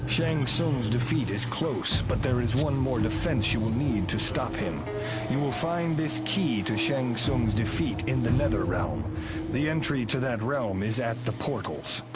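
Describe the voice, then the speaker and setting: Male actor as a thunder god mentor, grave, commanding, mid-pitch. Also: expressive, a little slow, loud music behind the voice, close to an everyday voice. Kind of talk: video game cutscene acting.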